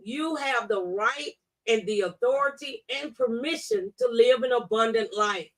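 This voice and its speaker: A woman's voice: a little high, animated, unhurried. A woman hosting a radio talk show in a studio, chatting casually and chiming in with agreement. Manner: affirming, interjecting agreement.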